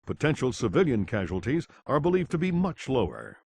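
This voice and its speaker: A man's voice: mid-range voice, huge pitch swings, fairly quick, gravelly, a little mellow, husky, dry recording, full character voice. A man playing a grave, authoritative TV news anchor reading a scripted report. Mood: grave, formal.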